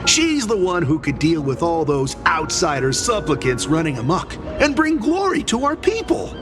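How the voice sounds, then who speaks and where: High, wildly animated gushing townsman. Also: moderate pace, music in the background. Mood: gushing, upbeat. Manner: effusive salesman patter. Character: gushing, over-cheerful male street vendor townsman devoted to his idol. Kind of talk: animated video game voice acting.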